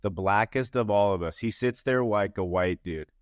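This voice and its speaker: Male co-host, lower voice, teasing banter, dry recording. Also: normal speed, smooth, no room sound. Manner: teasing, playful. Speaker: podcast co-host. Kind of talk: casual banter.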